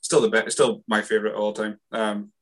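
A man's voice: lowish voice, little pitch movement, a little fast. A man from northeast Scotland hosting a podcast, chatting casually, laughing often and restarting his sentences. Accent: Scottish, northeast. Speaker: podcast host. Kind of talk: casual chat.

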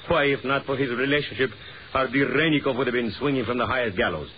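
An actor playing a talkative, cynical Russian government official in a 1940s radio horror drama, wry and exclamatory. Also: male voice, mid-range voice, fairly quick, natural voice. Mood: mocking. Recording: muffled old 1940s radio recording, hiss.

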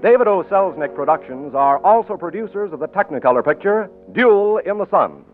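High-voiced 1940s radio announcer. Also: male voice, animated, fairly quick. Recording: tinny 1940s radio broadcast with music.

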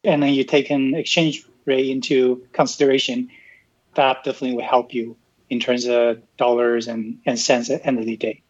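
A man from Taiwan, a podcast guest, explaining things in a casual, unhurried way, with frequent restarts and "right?" tags. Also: mid-range voice, level tone, husky. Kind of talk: casual interview talk. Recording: muffled lo-fi audio.

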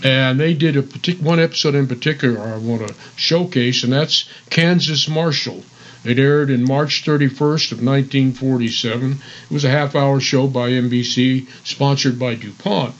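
A man's voice: medium pitch, steady tone, normal speed. A man co-hosting a radio show in casual chat. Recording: slightly dull sound.

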